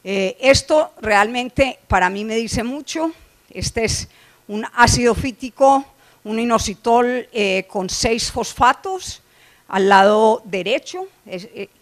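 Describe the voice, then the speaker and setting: Colombian woman lecturing with frequent fillers. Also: fairly high pitch. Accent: Colombian Spanish. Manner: explanatory, frequent "eh" fillers and restarts. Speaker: poultry nutrition researcher giving a conference talk. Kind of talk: conference lecture. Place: conference hall.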